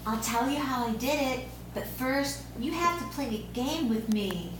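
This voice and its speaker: A woman's voice: high-pitched, normal speed, close to an everyday voice. A woman playing a sly, coaxing nine-year-old imaginary boy at an amateur script read. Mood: sly, teasing. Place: echoey room, recorded from a distance. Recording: very echoey room, distant mic, hiss.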